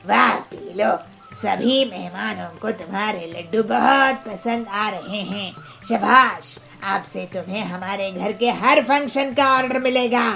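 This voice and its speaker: A woman's voice: mid-range voice, unhurried, creaky, pushed and bright. Woman as a blunt, commanding cartoon bird customer. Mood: pleased. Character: stern, threatening customer bird, a doting parent.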